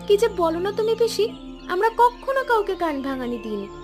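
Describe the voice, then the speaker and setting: A sly village gossip squeals her innocence in a very high, bouncing voice, as a woman voices her for a cartoon. Loud music plays behind her. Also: sing-song, fairly quick. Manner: sugary, protesting innocence. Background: loud background music.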